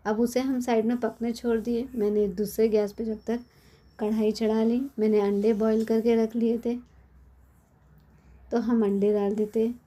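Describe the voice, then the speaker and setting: A middle-aged woman with a hoarse, cold-worn voice, chatting casually as she explains a home recipe step by step in her kitchen. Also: on the high side, flat delivery, a little slow, mellow. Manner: chatty, explains step by step with frequent tag questions. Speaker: cooking channel host. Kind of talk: casual cooking tutorial.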